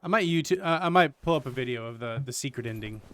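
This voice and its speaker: A man's voice: mid-range voice, animated, a little fast, gravelly, fairly bright tone. A man doing casual livestream commentary with wry, joking asides. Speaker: streamer.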